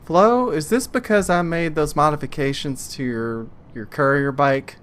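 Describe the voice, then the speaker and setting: A woman's voice: low-pitched, moderate pace, lightly acted. A woman voicing a brash, incredulous female mechanic in a tabletop game, blunt and exclaiming. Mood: wary, practical.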